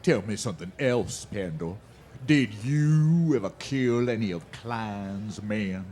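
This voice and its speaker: An actor playing a mocking, menacing cowboy bully with a taunting drawl in a western radio drama. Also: male voice, lowish voice, lively intonation, slow pace, a little mellow. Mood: quietly menacing. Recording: music behind the voice.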